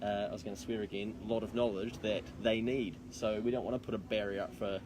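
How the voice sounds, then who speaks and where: A man's voice: fairly low pitch, fairly even intonation, fast talker, noisy background. A man with a New Zealand accent chatting casually to camera as an accountant co-hosting a video, in a matey, slangy way. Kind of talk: casual chat to camera for a live social video.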